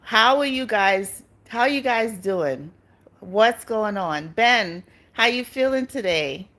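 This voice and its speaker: A woman's voice: mid-range voice, animated, takes it slowly, a bit bright. A middle-aged woman from Barbados, hosting a casual live stream. She chats with her viewers, greets them by name and laughs often. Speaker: YouTube channel host.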